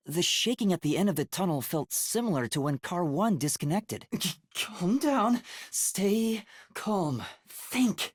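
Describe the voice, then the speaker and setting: Young male video game detective, earnest, anxious, hesitant, animated pitch swings, slightly raspy, dry close studio sound. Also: dry recording, heavily acted. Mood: nervous, determined. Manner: earnest, hesitant, thinking aloud. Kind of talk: English video game voice acting.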